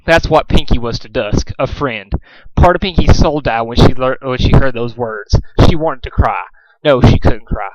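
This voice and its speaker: A man's voice: mid-range voice, moderate pace, no room sound, rough recording. A man narrating a story aloud as an amateur reader, sometimes stumbling over words and rereading. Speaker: amateur fan fiction reader.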